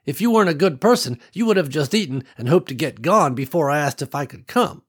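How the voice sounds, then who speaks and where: A man voicing a wise elderly woman farmer who speaks gently and folksily, calling others honey and sweetie. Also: fairly high pitch, expressive, a little fast, husky, pitched above the performer's own voice. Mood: gentle. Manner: gentle folksy drawl with endearments.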